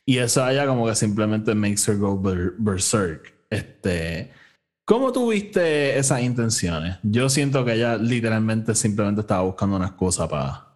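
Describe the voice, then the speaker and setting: A man's voice: on the low side, lively intonation, normal speed. A man with a Puerto Rican accent co-hosting a casual review chat, informally mixing English words into his Spanish. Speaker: podcast co-host.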